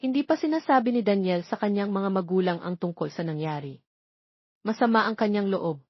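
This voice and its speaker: A woman's voice: medium pitch, expressive, brisk, dry recording. Woman narrator, dry muffled sound. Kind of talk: scripted story narration. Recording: dry, slightly dull studio sound.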